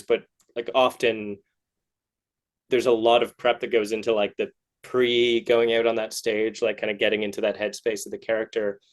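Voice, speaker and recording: A man with an average-pitched voice hosts a podcast interview. He speaks casually and encouragingly, affirming his guest as he sets up questions. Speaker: podcast host, festival worker.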